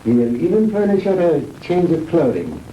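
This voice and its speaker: An actor playing a proud, fanatical mad scientist with a German accent, courteous and condescending, in 1960s B-movie style. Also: male voice, fairly high pitch, sing-song, highs cut off. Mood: smug. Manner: grandiose, condescending, deliberate. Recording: noisy old 1960s film soundtrack.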